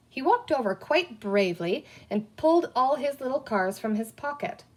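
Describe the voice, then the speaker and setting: A woman reading a children's story aloud as scripted, expressive narration. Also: a little high. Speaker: audiobook reader.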